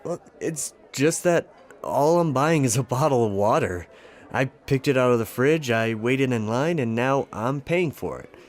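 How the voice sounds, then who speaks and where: A woman's voice: extremely low pitch, expressive, measured pace, a little mellow. A woman playing a reasonable, hesitant and defensive coffee shop customer in an audio comedy sketch. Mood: exasperated.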